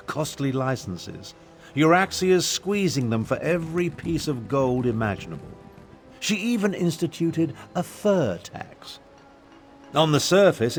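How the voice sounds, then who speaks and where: An eloquent royal half-brother played by a male actor speaks in a medium-pitched voice over faint music. He is formal and theatrical, carefully articulate, with the indignation of a man exposing tyranny in a fantasy video game. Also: natural voice. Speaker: guide. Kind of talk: scripted video game dialogue.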